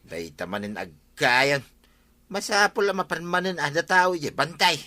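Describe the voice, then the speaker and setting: A man playing a scheming, easygoing village husband in a comedic radio drama, muttering colloquially with drawn-out interjections. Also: fairly high pitch, animated, pushed and bright. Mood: insistent.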